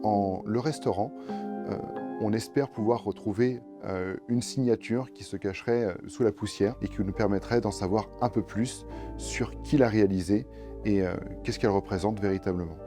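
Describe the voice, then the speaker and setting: Guy from a museum being interviewed, kinda low voice, hesitant w lots of pauses, music behind. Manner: hesitant, pausing often. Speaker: museum representative. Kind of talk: documentary interview.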